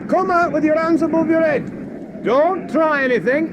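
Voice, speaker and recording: An actor playing a bossy, dismissive British army captain in a radio drama. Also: male voice, very high-pitched, normal speed, very noisy. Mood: commanding. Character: brusque, commanding British army captain on a manhunt.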